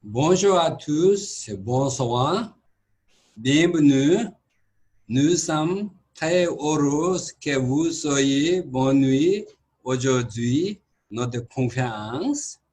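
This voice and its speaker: A Buddhist monk with a Tibetan accent mixed with some Quebec French, giving a conference talk in halting, broken French, calmly repeating key words in short phrases. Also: male voice, on the high side.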